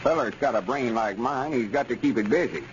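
A self-important backwoods storekeeper acted by a man brags away in a folksy rural Arkansas accent. His voice sits at an average pitch, pompous and pleased with itself. It comes from a 1930s radio comedy recording, muffled, with light scratchy noise. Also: a little fast, lightly acted. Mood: self-satisfied. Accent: Southern US, rural Arkansas.

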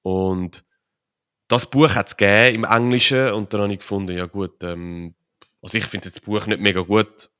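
Swiss German man, low voice, relaxed rambling guest explaining at length with lots of fillers. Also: moderate pace, smooth. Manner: rambling, many fillers and tag questions. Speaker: podcast guest, author and crypto consultant. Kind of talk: casual conversational interview.

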